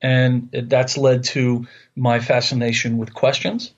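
A man in his late fifties with a New York accent speaks as an interview guest. He talks earnestly and often circles back to restart his phrases. His voice sits in a middle pitch and comes through slightly muffled. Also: little pitch movement, slow pace. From Long Island, New York. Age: 50s. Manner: earnest, repeats words while searching for phrasing. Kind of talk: conversational interview.

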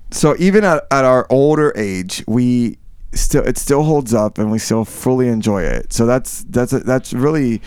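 A man's voice: medium pitch, darkish tone, clean tone, dry recording, studio quality. A man in his thirties co-hosting a podcast, chatting casually with lots of fillers and rambling asides. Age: 30s. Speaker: podcast co-host. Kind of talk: casual chat.

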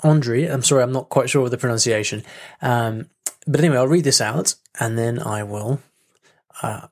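A man's voice: medium pitch, moderate pace, darkish tone. A man hosting a solo podcast, talking casually with many fillers, restarts and small laughs. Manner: chatty, self-interrupting with fillers and laughs. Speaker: podcast host.

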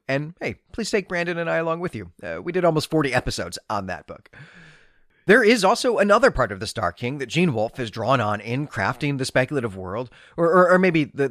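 Animated, bookish male podcast host. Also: medium pitch, dry recording, studio quality. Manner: bookish, analytical, with emphatic phrasing. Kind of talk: literary podcast commentary on science fiction.

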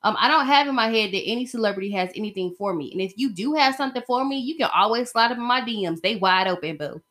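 Bright-voiced, sassy American woman podcasting. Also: on the high side, lively intonation, fast. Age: 30s. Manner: sassy, rambling, slangy. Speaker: podcast host. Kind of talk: casual solo podcast chat.

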